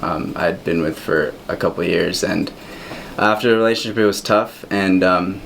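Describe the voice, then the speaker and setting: A man's voice: low voice, normal speed, gravelly, fairly bright tone, poor audio. A man of twenty-one talking casually as a radio guest, laid-back and slangy. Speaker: guest singer-songwriter. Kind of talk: casual chat. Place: local radio studio. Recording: faint background noise on live radio.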